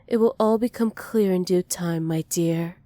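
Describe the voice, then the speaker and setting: A woman playing a dominant, possessive villain who dotes on a captive, softly menacing and teasing in an ASMR roleplay. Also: on the low side, normal speed, no echo, close to an everyday voice. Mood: commanding, possessive. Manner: soft, teasing menace with breathy whispered endearments.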